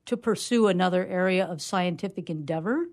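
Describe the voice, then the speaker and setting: A woman's voice: on the low side, brisk, a little mellow, close to an everyday voice. Woman as a pompous, indignant mad scientist. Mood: indignant scorn. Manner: pompous, scolding, florid. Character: haughty, indignant mad scientist dictating a letter. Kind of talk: dramatic reading of comic fiction.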